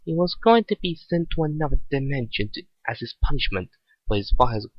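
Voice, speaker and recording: A man's voice: mid-range voice, animated, brisk, no room sound, dull audio, lightly acted. A man voicing an anxious female cat fighter with memory loss, hesitant and straining to recall, in a story reading. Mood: grave recollection.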